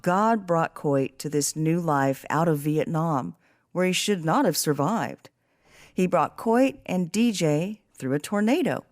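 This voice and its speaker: Woman, slightly low voice, podcast host, earnest, reflective, devotional, scripted delivery. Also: lively intonation, unhurried.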